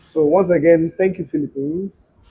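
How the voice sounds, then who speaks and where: A man's voice: fairly high pitch, fairly even intonation, normal speed, dark tone, a little hiss or hum. Young Nigerian man hosting a chat. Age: young adult. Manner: curious, teasing questions. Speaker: host. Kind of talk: casual chat.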